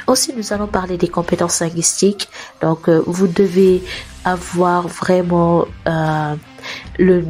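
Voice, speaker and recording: A woman with a cold talks through an explainer for her channel's viewers in a slightly lower voice. She hesitates a lot, restarts phrases and corrects herself as she goes. Soft music plays faintly behind her. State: has a cold. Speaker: host of an immigration advice channel. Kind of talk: informal explainer talking to viewers.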